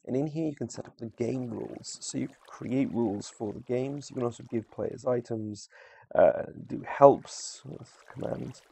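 A man's voice: mid-range voice, normal speed, creaky, mellow, breathy edge. A man giving a casual, unscripted video game tutorial, informal and a little hesitant as he thinks aloud. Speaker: gamer presenting a video walkthrough.

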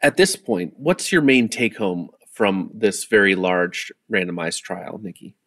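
A man's voice: low voice, expressive, unhurried, a bit bright, dry recording, clean studio sound. A man hosting a medical podcast interview, putting questions to researchers.

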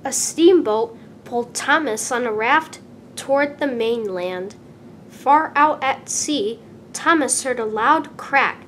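A woman narrates a children's storybook in a high voice, taking her time over each phrase. Her delivery is expressive and deliberate. There is clear rumbling noise behind her. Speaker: storybook reader.

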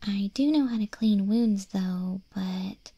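A woman voicing a caring, teasing young wolf girl in an ASMR roleplay, speaking in a soft, gentle half-whisper close to the mic. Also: mid-range voice, takes it slowly, slightly rough, no room sound, highs cut off. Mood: concerned. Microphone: close, dry studio microphone.

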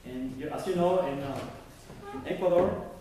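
A young man presents research at a conference, pausing and restarting as he searches for words. His voice sits a little high for a man. He is recorded from a distance in a very echoey hall, with a faint hiss under it. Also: warm tone. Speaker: conference speaker. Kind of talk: academic presentation.

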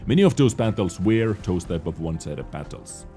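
A young man, not a native English speaker, chatting animatedly over his own gameplay footage and often calling his viewers ladies and gentlemen. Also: sits low, big pitch swings, fairly quick, faint music behind. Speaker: gaming video host. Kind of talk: gameplay commentary.

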